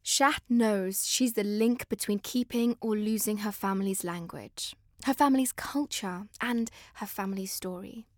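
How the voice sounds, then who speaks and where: A British woman narrating a scripted podcast as host, in an expressive, conversational way that speaks straight to listeners. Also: medium pitch, a little slow, no echo, clean studio sound. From born in Afghanistan, moved to the UK.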